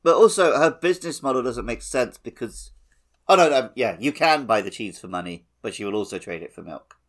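A man's voice: medium pitch, animated, brisk. A man co-hosting a gaming podcast in a casual, playful, jokey way. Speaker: podcast co-host.

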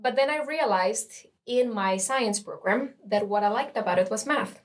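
A woman's voice: mid-range voice, normal speed. A young woman from Rica, a student guest telling her story in an enthusiastic, chatty way. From Costa Rica. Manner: enthusiastic, laughs often, some fillers. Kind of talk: casual interview chat.

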